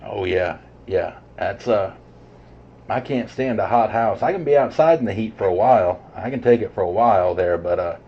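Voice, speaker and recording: Low-voiced man on muffled CB radio. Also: normal speed, breathy edge. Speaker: CB radio operator. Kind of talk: casual radio chat. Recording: dull, muffled CB radio signal.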